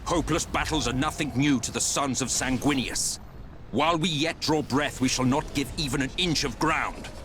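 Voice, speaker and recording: An actor playing a proud, fearless space marine captain in a game cutscene, with stern, grandiose oratory. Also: male voice, mid-range voice, normal speed, a bit bright, soft background music, close to an everyday voice. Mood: grim defiance. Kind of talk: dramatic game cutscene acting.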